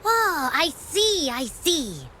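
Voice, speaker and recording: A woman voicing a curious, bubbly young companion in a video game, expressive and often musing aloud. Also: sits high, very slow, slight background noise, exaggerated voice. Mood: puzzled, playful. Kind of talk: animated game dialogue acting.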